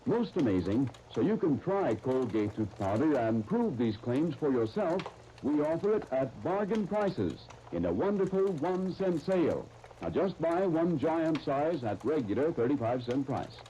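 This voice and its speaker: Lively-pitched male announcer, muffled 1930s radio. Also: measured pace. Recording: muffled, noisy 1939 radio disc recording.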